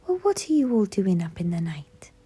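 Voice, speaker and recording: A woman voicing a friendly, gentle little female owl in a light, breathy storybook voice over music. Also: medium pitch, very animated, normal speed, pitched above the performer's own voice.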